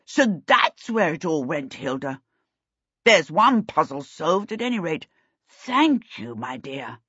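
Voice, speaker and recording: A woman's voice: lowish voice, big pitch swings, slow pace, a bit bright, breathy edge, no echo, lo-fi sound, heavily acted. A woman voicing a genial, mildly put-out king in a storybook, courteous and regal. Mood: mildly pleased.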